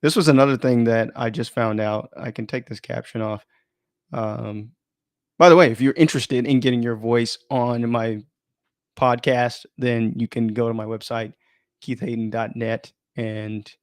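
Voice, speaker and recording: A man's voice: mid-range voice, fairly even intonation, unhurried, clean tone, no room sound, studio quality. A man chatting informally and thinking aloud as he runs an unplanned live stream, often interrupting himself in a rambling way.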